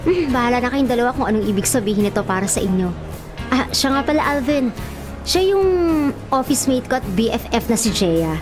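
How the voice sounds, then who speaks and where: Actress as a playful young woman, Filipino accent, highish animated voice, teasing, bossy, radio drama. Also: brisk, over music, natural voice. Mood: mischievous. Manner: breezy, teasing, exclamatory.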